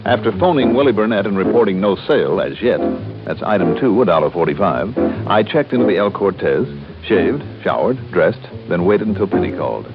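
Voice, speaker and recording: An actor playing a suave, wry insurance investigator in an old-time radio detective drama, smooth and conversational. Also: male voice, low voice, animated, a little fast. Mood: wry, matter-of-fact. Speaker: lead actor and narrator. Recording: dull vintage radio recording with music.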